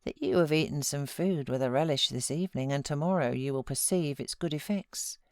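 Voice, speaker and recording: A woman voicing a sensible, unsympathetic female servant who answers her mistress in a measured, matter-of-fact way. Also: low-pitched, no echo, studio quality. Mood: placating, firm.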